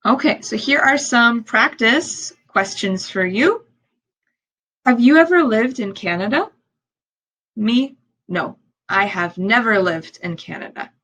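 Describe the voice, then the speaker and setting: A woman who is a native English speaker, teaching language learners step by step in a deliberate way, repeating key phrases and posing questions. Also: on the high side, expressive, fairly bright tone, rough recording. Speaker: ESL teacher.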